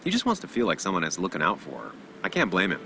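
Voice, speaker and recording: A man's voice: deep voice, huge pitch swings, fast talker, creaky, background noise, exaggerated voice. A man playing an earnest, brooding journalist in a video game drama, subdued, hesitant and introspective. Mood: torn. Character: brooding, earnest male journalist returned to hometown. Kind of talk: video game drama acting with inner monologue.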